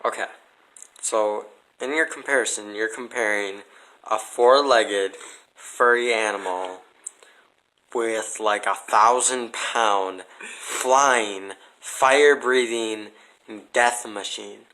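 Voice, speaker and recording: A man's voice: on the low side, slow, bright, forceful tone, rough recording. A man as a podcast guest in casual debate, speaking in a skeptical, blunt, sardonic manner. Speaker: podcast guest answering questions.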